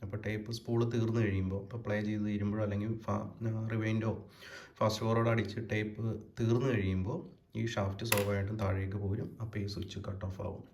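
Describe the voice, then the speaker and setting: A man with a fairly low voice and a Kerala accent presents a hands-on demonstration. He explains step by step, unscripted and a little halting. The room is clearly echoey, with faint noise behind him. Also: level tone, a little mellow. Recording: echoey room with background hiss.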